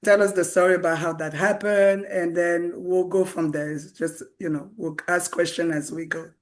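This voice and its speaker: Hoarse woman hosting a chat show. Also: on the low side, steady tone, moderate pace. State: hoarse, voice nearly gone. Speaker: host. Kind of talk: casual online talk show.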